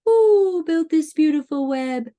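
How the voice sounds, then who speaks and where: Woman as a high, hooting, curious storybook owl. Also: expressive, takes it slowly, mellow. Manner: hooting, questioning.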